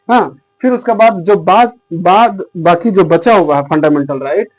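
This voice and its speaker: A man's voice: high-pitched, darkish tone, low-quality recording. An Indian man teaching exam students in an explanatory slide lecture, repeating key phrases for emphasis.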